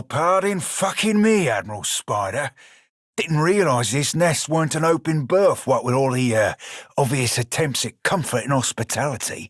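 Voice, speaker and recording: Husky, roguish. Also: male voice, mid-range voice, fairly bright tone, no echo, studio quality, heavily acted. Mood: teasing, amused. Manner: salty sailor slang, heavy swearing, wry teasing. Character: roguish blue male pirate shiphunter, crude, jaunty, irreverent. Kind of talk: video game character voice lines.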